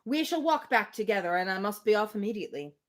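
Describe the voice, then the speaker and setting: Woman voicing dejected young gentleman, novel dialogue, formal, lamenting, effusive, medium-pitched. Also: lively intonation, fast, natural voice. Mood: dejected, regretful. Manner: earnest, lamenting, formal speech.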